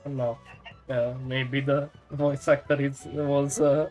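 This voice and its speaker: A man who is sick, his voice stuffed and nasal. He talks very slowly and haltingly while gaming, clearing his throat and filling gaps with hesitant fillers. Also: medium pitch, level tone, darkish tone, soft background music. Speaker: gamer. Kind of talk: casual chat while gaming.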